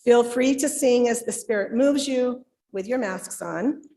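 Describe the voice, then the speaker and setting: A woman's voice: a little high, a little slow, mellow. A woman speaking as worship leader at a live church service, addressing the congregation. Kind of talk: sharing and leading at a church service. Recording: faint background noise, live room.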